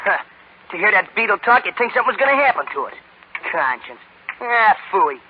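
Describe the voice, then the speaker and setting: A man's voice: sits high, big pitch swings, fairly quick, a little hiss or hum. An actor playing a cocky, tough-talking boy with slangy wise-guy talk, in 1930s radio style. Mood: scornful. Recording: muffled 1930s radio broadcast.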